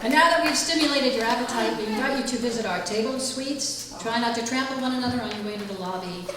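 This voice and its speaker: A woman's voice: a little high, fast, lightly acted. A woman playing the announcer of an old-time radio serial, reading scripted narration. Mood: announcing. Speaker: announcer of a live radio show. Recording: reverberant hall, distant mic, loud music.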